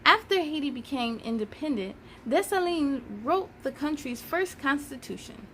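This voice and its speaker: A woman hosting a children's read-aloud, reading expressively and speaking to young viewers in an animated, emphatic way. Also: high voice, moderate pace, a little hiss or hum.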